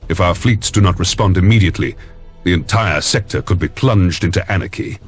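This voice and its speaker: An actor playing a resolute lord admiral in a game cutscene, giving formal, measured, authoritative military briefings. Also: male voice, sits low, husky. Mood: stern command. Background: faint music.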